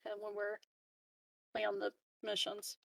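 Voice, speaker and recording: Gravelly-voiced giggly woman player. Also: mid-range voice, completely flat delivery, takes it slowly, dry recording. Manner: giggly, breaks into laughter. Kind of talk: casual tabletop game chat.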